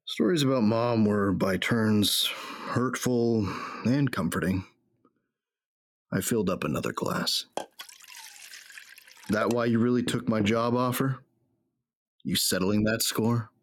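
A grieving, hard-drinking bounty hunter, played by a man, tells his story in an audio drama. His voice is low and full of gravelly fry, weary and reflective, recorded very dry. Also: takes it slowly, pauses often, no echo. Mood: wry, brooding. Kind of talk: first-person audio drama narration and dialogue.

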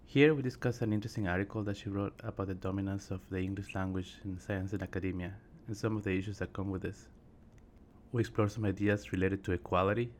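Friendly podcast host man, halting speech. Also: sits low, a little hiss or hum. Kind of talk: podcast interview and intro.

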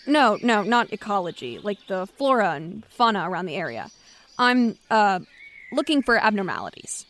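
A woman plays a defensive creature-hunting scientist in an audio drama. Her medium-pitched voice swings widely as she explains herself, dry and hesitant, quick to sound exasperated. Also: big pitch swings, fairly bright tone. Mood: guarded, hesitant. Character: guarded, defensive woman scientist who hunts mysterious creatures. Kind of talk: naturalistic audio drama dialogue.

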